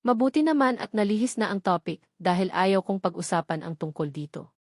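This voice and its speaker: A woman's voice: mid-range voice, lively intonation, brisk, no room sound, clean studio sound. A woman reading a first-person story as an audiobook narrator.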